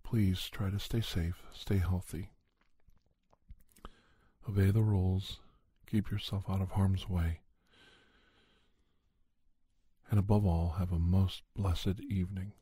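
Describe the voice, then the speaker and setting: Male actor as grave, gentle doctor, paranormal investigator narrating a podcast tale. Husky, hushed, very dry close sound. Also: low-pitched, little pitch movement, unhurried, dry recording, full character voice. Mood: gentle, kindly. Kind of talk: ASMR scripted storytelling.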